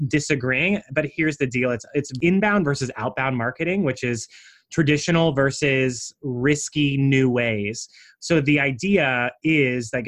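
Guy coaching on branding in a podcast chat, animated, lots of "like", middle pitch. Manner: animated explainer, frequent "like" asides. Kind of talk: conversational interview.

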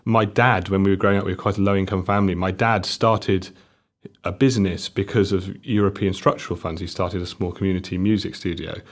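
A young man from South Wales with a South Welsh accent, explaining economics on a discussion panel in a measured way that stumbles and restarts. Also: sits low, fairly even intonation. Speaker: panel guest.

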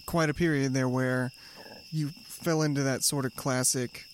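A man's voice: medium pitch, steady tone, normal speed, a little hiss or hum. A man co-hosting a conversational podcast, talking in a supportive, reflective way with frequent fillers and restarts. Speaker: podcast co-host. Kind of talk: casual conversation.

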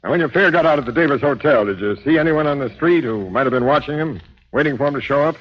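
An actor playing a brisk, authoritative police captain with a probing manner in a 1940s radio drama. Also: male voice, on the high side, close to an everyday voice. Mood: businesslike. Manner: businesslike, commanding, probing. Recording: dull old 1940s radio recording.

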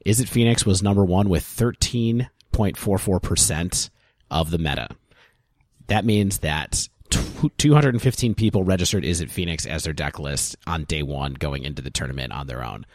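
A man with a very low voice talks through figures at length on a podcast. He is thoughtful and casual, recorded close with a dry, dead sound. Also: expressive, moderate pace, a little mellow, no room sound. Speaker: podcast co-host.

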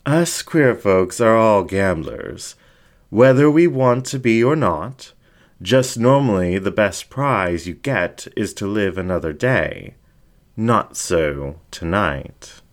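A man's voice: lowish voice, animated. A man voicing a flamboyant, vain and seductive male fox ringmaster in a cooing, theatrical way, as in an audio story. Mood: sultry, showy. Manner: cooing, drawn-out, theatrical delivery.

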